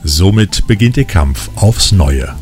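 A man's voice: low voice, expressive, slow, loud music behind the voice. A man reading playful, scripted film release news as the host of a weekly show. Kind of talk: scripted upbeat review narration.

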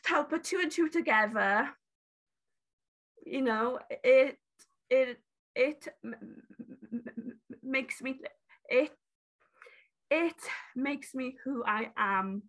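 A woman's voice: high voice, slow, pauses often, a bit bright. A woman who stutters heavily, with many repeats and restarts, talking candidly in an interview about her beauty brand. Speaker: guest, beauty brand founder.

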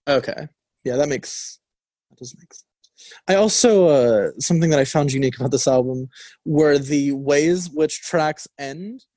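A man's voice: on the high side, moderate pace, mellow, no room sound. Rambling young man reviewing an album. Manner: rambling, many fillers and restarts. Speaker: college radio co-host. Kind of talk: casual music review chat. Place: radio studio. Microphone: studio mic.

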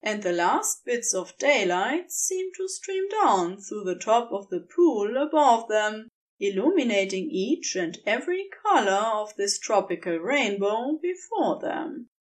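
A woman narrating scripted fiction in an expressive, dramatic storytelling manner. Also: mid-range voice, a little slow, few pauses.

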